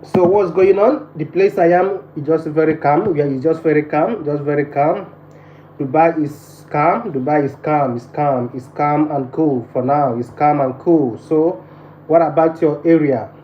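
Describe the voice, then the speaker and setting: A man's voice: on the high side, measured pace. Nigerian guy doing a live stream, chatty, says stuff over and over, kinda muffled audio with some noise behind. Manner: repeats phrases insistently. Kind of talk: casual livestream chat, greeting viewers.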